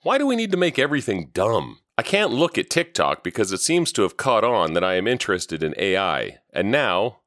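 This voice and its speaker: A low-pitched man with a cold talks through his solo podcast, swinging his voice up and down as he rants. His delivery is sardonic and mocking. The sound is dry, with no room echo. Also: a bit bright. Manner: sardonic, ranting commentary. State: recovering from a cold. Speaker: podcast host.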